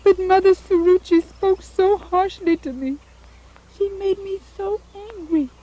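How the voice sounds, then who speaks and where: A woman's voice: very high-pitched, measured pace, dark tone, lo-fi sound. A woman voicing a brave, indignant five-year-old prince in a puppet show, in an earnest, declamatory way. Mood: aggrieved.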